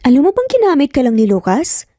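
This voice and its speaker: Woman acting a haughty, pouty, high-pitched young rival. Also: wildly swooping pitch, fast, warm tone, no echo, clean studio sound, soft background music. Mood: spiteful. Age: young adult. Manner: affected, pouty, taunting.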